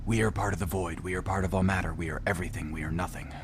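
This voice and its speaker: An actor playing a reticent, deadpan man with an eerie, cosmic side in an audio drama, speaking tersely. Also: low voice, very fast, crowd noise. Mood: eerie, trancelike.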